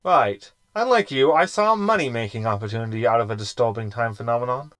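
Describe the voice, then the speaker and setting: A man's voice: mid-range voice, animated, fast talker, fairly bright tone, smooth, no echo, natural voice. Man playing a scheming, swooping sarcastic hologram. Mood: smug. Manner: arch, wordy, sardonic. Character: scheming, sarcastic male hologram crewman, self-satisfied. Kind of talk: science fiction comedy audio drama acting.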